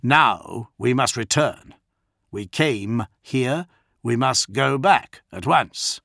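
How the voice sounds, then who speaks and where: A man's voice: mid-range voice, animated, takes it slowly, creaky, pushed and bright, dry recording, clean studio sound, pitched above the performer's own voice, full character voice. A man voicing a proud, suspicious male warrior lord who speaks curtly and commandingly. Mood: stern. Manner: curt, commanding.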